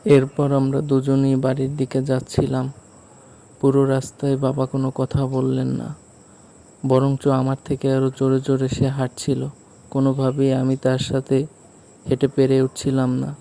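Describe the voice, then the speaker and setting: A man playing a village husband who recounts an eerie night in a polite, uneasy, measured way for a cartoon story. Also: medium pitch, monotone, vocal fry, warm tone, natural voice. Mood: uneasy, puzzled. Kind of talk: first-person cartoon story narration.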